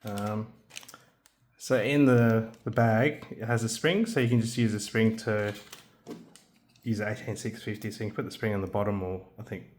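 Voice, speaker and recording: A man with a somewhat low voice talks through a small electronics project. His delivery is loose and unhurried. He stops often to hedge and restart, as if explaining it to a friend at the workbench. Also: slight background noise. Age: adult, has a young son. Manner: relaxed, hesitant, many ums and restarts. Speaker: maker showing a radio kit. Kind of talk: casual hands-on product demo.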